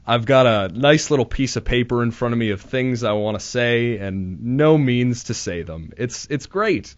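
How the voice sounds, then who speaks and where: A young man hosts a casual radio show, rambling and nervous with plenty of restarts and self-deprecating jokes. His voice sits at an ordinary pitch, picked up dry and slightly dull on a studio mic in a small room. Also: moderate pace, no room sound. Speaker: radio host. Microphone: studio microphone.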